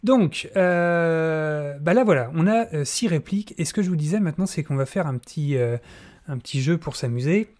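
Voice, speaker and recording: A middle-aged man presents a tutorial in an informal way. His voice is fairly high for a man. He speaks close to a desk microphone at home. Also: lively intonation, moderate pace, a little mellow. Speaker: audio fiction tutorial host. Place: small room at home. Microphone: close desk microphone, wearing headphones.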